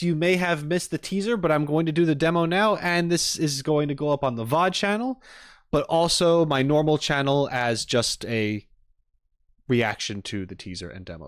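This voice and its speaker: A man's voice: fairly high pitch, no echo. A man streaming casual reaction commentary, rambling expressively and thinking aloud into a close mic. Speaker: streamer reacting to game videos.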